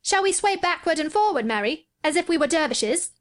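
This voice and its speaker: A woman reading an audiobook, voicing an earnest, solemn young boy who speaks gravely and chants. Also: expressive, a little fast. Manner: grave, high-pitched chanting.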